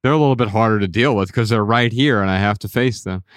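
A middle-aged man from Ohio with an American accent, talking in a measured, reflective way as a podcast host. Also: on the low side, clear, resonant tone, no echo, clean studio sound. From Dayton, Ohio, United States. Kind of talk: conversational podcast discussion. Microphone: close studio podcast mic.

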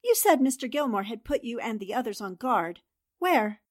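A woman playing a sharp, commanding newspaper columnist who questions briskly in a 1940s-style radio drama. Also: on the high side, big pitch swings. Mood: probing.

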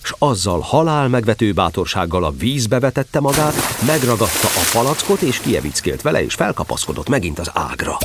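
Low, lively male children's storyteller. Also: hardly any pauses, heavy background noise. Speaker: storyteller, narrator. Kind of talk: expressive scripted narration of a children's story.

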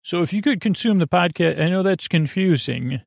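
A man with a creaky voice hosting a podcast in a rambling, halting, casual monologue that keeps trailing off and circling back. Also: high-pitched, animated, no echo, clean studio sound. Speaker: podcast host.

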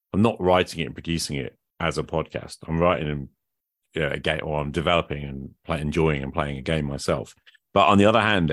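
Very deep-voiced man hosting a chat. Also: no echo, studio quality. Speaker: podcast host. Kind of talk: casual conversation about hobby gaming.

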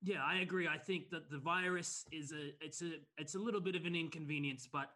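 A man's voice: on the high side, steady tone, fairly quick, pushed and bright. A man playing a greedy, self-important motivational speaker who rambles earnestly. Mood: smug. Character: smug sleazy male motivational speaker, greedy.

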